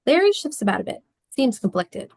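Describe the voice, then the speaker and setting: A woman's voice: a little high, sing-song, brisk, creaky, a little mellow, husky, dry recording, studio quality. A woman narrating the stage directions of a screenplay at a table read.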